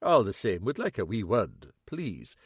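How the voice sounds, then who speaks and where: A man voicing an older detective inspector who speaks calmly with understated, dry humour. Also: fairly low pitch, a little slow, slightly rough, no room sound, exaggerated voice. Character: older Scottish male detective inspector, calm, dry-witted.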